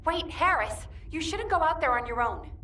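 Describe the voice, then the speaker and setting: An actress playing a capable, stern, dryly wry woman soldier with a brisk manner in a video game cutscene. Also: high voice, expressive, sharp tone. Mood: exasperated, brisk.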